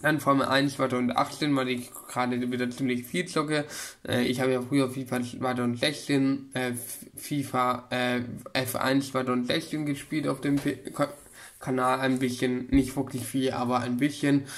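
Rambling young man, flat voice. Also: medium pitch, normal speed. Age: about 21. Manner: rambling, many fillers and restarts. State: getting over a cold. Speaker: vlogger. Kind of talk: casual chat. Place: small room with bookshelf, indoors. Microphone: webcam at desk, close.

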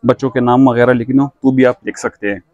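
A man's voice: mid-range voice, fairly even intonation, fast, a little mellow, no room sound, dull audio. A man with a Pakistani accent who runs a sewing machine shop, patiently explaining machines step by step in a casual demonstration. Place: shop full of machines, indoors.

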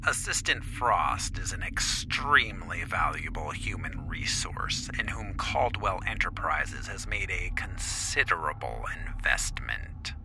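An actor playing a pompous, cold and venomous corporate counselor with formal, deliberate diction and a gravelly voice in a science fiction audio drama. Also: male voice, sits low, big pitch swings, music in the background, exaggerated voice, low-quality recording. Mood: haughty, cold.

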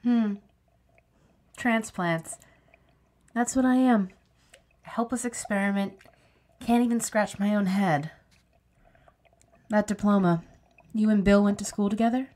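A woman's voice: mid-range voice, fairly even intonation, measured pace, long pauses, a little mellow, natural voice. An actress playing a bitter, wry woman kept alive as a severed head in an audio drama, questioning and plaintive. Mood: wry, probing.

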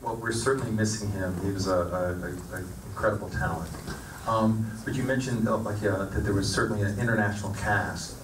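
A male moderator with a low voice asks questions through a handheld mic, tripping over and repeating words. The recording is roomy and distant, with noise underneath. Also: steady tone, a little fast. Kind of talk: panel moderating. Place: stage of a screening theater. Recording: echoey theater, distant mic, noisy.

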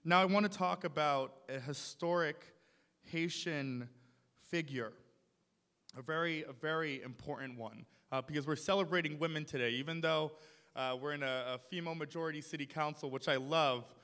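A man's voice: on the high side, fairly bright tone, smooth. Passionate young man at a podium. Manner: passionate, rising to emphatic points. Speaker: city council member. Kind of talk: public speech at a podium. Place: large ornate hall, indoors. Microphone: podium mic. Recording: long hall reverb. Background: audience in the hall.

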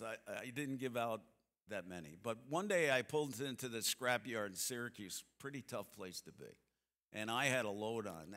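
Bright-voiced older man in a reverberant church. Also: medium pitch. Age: 70s. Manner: folksy, animated storyteller acting out quotes. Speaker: seminar speaker. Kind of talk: church seminar talk with storytelling. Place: church sanctuary stage, seated. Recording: long hall reverb from the sanctuary.

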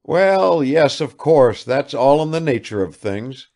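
A man's voice: medium pitch, expressive, slow, darkish tone, pitched above the performer's own voice. A man reading aloud and voicing a fussy, emotional elderly retired army father who tries to hide his feelings. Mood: flustered.

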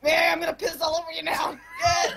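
A woman's voice: extremely high pitch, completely flat delivery, a little fast, shouting, a crowd in the background. A woman voicing a shifty, panicky old great-uncle in an improvised cartoon parody, stammering and flustered. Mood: brash. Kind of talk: improvised comic cartoon fandub.

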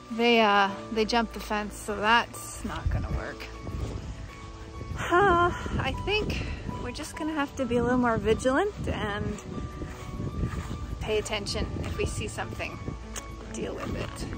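A woman in her forties chatting casually to her phone camera for a daily vlog, rambling with fillers as she walks outdoors and sits in a home office. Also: on the high side. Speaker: vlogger. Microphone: phone held at arm's length.

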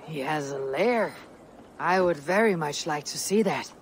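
A deep female voice as a bold Viking warrior. She is blunt, measured and resolute, with a faint noise in the background. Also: lively intonation. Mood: sly, flirtatious. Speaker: game protagonist. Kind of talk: dramatic video game cutscene acting.

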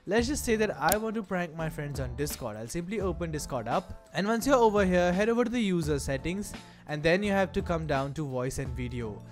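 Male tutorial presenter, higher-pitched voice, promotional and enthusiastic, clear music bed underneath. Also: animated, brisk. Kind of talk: screen-recorded tutorial narration. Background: background music.

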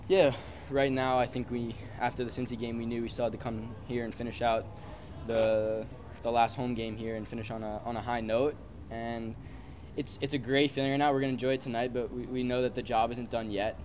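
A man in his twenties, a pro soccer player, answering reporters quickly after a match, often repeating words as he goes. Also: mid-range voice, flat delivery. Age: 20s. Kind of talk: post-game media scrum. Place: busy stadium mixed zone, indoors. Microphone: reporters' phones and handheld mics held close. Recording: loud background chatter noise.

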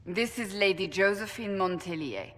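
Stern, blunt warrior leader. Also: female voice, mid-range voice, animated, normal speed, fairly bright tone, long reverb tail. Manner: firm, clipped and matter-of-fact.

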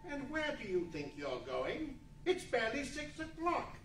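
A feisty, stingy old man, acted by a man grumbles and scolds through a radio drama. His voice is high and jumps around in pitch. It comes from across an echoey room. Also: wildly swooping pitch, measured pace, a bit bright, full character voice. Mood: scolding, crabby. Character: feisty, crabby, miserly old man, a business owner. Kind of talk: stage readers' theatre as 1940s radio play. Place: large indoor meeting room with seated audience. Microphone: far camera. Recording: echoey hall from far off, faint noise.

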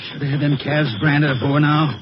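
An actor playing a folksy, stubborn older rancher in a radio drama, drawling with a Texan accent on a muffled old recording. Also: male voice, on the high side, monotone, normal speed, background noise, natural voice. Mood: alarmed, determined. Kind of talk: 1950s radio drama acting.

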